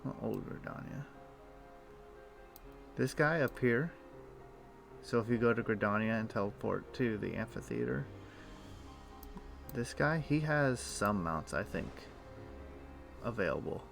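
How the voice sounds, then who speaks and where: Man, gravelly voice, lower pitch, laid-back game streamer chatting at home, soft music behind. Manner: relaxed, meandering explaining with pauses. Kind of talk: casual gameplay chat.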